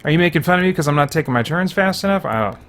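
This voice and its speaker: A man giving casual video game commentary, thinking aloud in a halting way as he plays and reviews. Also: fairly high pitch, expressive, a bit bright. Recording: game music behind the voice.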